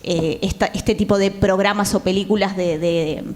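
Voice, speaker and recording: A woman with a Rioplatense Argentine accent speaks informally as a panel guest, colloquial and halting, drifting into asides. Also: medium pitch, fairly even intonation, darkish tone. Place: theatre, speaking on stage. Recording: reverberant hall, faint ambient noise.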